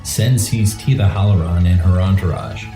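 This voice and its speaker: A man's voice: low-pitched, normal speed. A man reading scripted, screenplay-style narration for an audio drama. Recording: roomy echo with faint music.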